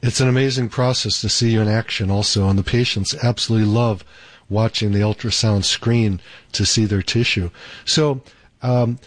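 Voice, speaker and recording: A man's voice: fairly low pitch, fairly even intonation, no echo. Upbeat man hosting a call-in show. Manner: upbeat, promotional, slows to repeat details. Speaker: radio show host and doctor. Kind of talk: live call-in radio talk. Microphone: studio microphone.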